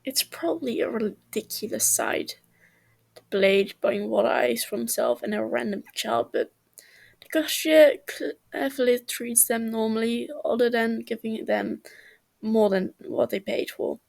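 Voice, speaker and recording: A woman reading a story aloud in a slightly halting way, as an amateur narrator. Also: on the high side, creaky, darkish tone, poor audio. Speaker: amateur fan fiction reader.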